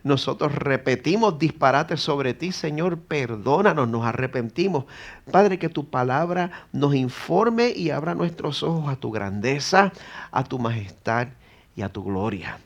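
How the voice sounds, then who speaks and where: A man's voice: mid-range voice, expressive, unhurried, creaky. A middle-aged man with a Puerto Rican accent preaching an earnest sermon in church, rising to emphatic exhortation. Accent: Puerto Rican Spanish. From Puerto Rico. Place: church sanctuary.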